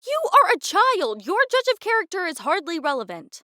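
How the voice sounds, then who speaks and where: A woman's voice: extremely high pitch, very animated, normal speed, bright, forceful tone, no room sound, clean studio sound, full character voice. A woman playing a distrustful, blunt young adventurer in a fantasy drama, with a sardonic manner. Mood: suspicious, curt. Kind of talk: fantasy audio drama acting.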